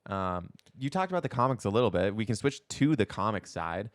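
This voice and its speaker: Slightly low-voiced man chatting on a podcast. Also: animated. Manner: halting, conversational, peppered with "like". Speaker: podcast co-host. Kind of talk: casual opinionated chat.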